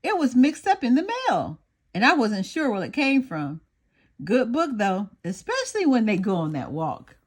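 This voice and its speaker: A woman's voice: a little high, wildly swooping pitch, normal speed. A woman voicing a sheepish, chatty male neighbour in a children's story. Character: groaning, chatty male neighbour who borrowed the book.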